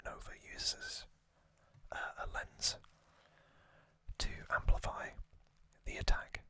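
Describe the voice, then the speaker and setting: A man hosting ASMR, recapping a story in a breathy, unvoiced whisper with a gentle, halting delivery. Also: dry recording. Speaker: ASMR host.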